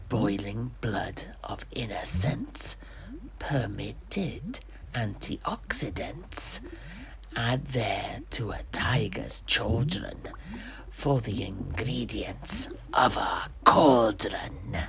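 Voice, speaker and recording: A performer voicing a sinister witch chanting spells slowly and theatrically in a creaky, whispery voice. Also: mid-range voice, expressive, a crowd in the background, full character voice. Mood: incantatory menace. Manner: slow, incantatory, theatrical chanting.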